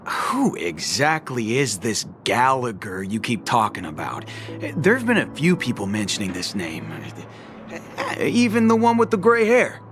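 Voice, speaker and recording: Theatrical, wildly animated timid guard. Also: male voice, mid-range voice, unhurried, soft background music. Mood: wary. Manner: formal pleading, theatrical. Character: officious yet timid male guard, dramatic and apologetic. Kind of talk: video game voice acting.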